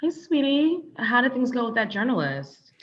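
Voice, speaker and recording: A woman's voice: a little high, sing-song, moderate pace. A woman playing an affectionate thirty-year-old girlfriend in a comedy table read, on a dull lo-fi microphone.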